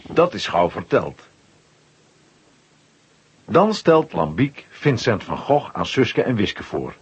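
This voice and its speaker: Man narrating a kids audio play, really husky voice, animated, bit muffled recording. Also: fairly low pitch, takes it slowly. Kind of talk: scripted storytelling for a children's audio play. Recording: slightly dull old audio recording.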